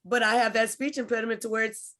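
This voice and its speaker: A woman's voice: on the high side, flat delivery, fast talker, a bit bright. A woman from Corpus Christi, Texas, a teacher chatting in a class discussion and telling stories that act out the people in them. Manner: chatty, tells anecdotes acting out others. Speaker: teacher in training.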